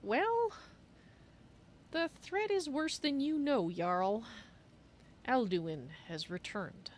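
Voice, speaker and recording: A woman's voice: high voice, lively intonation, slow pace, pitched above the performer's own voice. A woman roleplaying a courteous female cat-folk archmage in a fantasy game, speaking of herself in the third person. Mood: earnest. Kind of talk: in-character game roleplay on a livestream. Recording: faint noise and game ambience.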